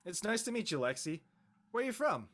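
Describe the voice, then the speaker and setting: A man's voice: sits high, sing-song, brisk, a bit bright. Guy acting as a flustered teen boy in a drama, stammery n nervous, super up and down voice. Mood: nervous, eager. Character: flustered, awkward teenage boy, easily embarrassed. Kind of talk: audio drama acting, casual dialogue.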